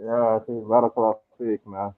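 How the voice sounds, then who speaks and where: A man's voice: lowish voice, a little fast. Man on a tinny phone-like line. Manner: relaxed, thinking aloud with many fillers. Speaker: guest. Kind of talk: casual chat.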